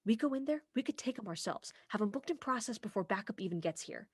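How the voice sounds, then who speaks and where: A young woman acting in a comic radio play as an earnest, headstrong police officer, brisk and argumentative. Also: a little high, animated, breathy edge, dry recording, clean studio sound. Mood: indignant, earnest. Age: young adult.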